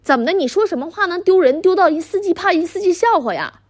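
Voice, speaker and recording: A woman playing a furious middle-aged wife and mother with a Northeastern Chinese accent and a husky voice, berating her husband in coarse, sarcastic language in a dramatic monologue. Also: very high voice, animated, fast talker, dry recording, clean studio sound. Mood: angry, disgusted. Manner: scolding, sarcastic, coarse swearing. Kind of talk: dramatic one-sided argument monologue.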